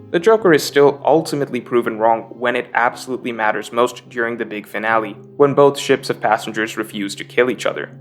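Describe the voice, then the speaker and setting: Male video essay narrator, mid-pitched, measured and emphatic, scripted analysis with faint guitar music behind.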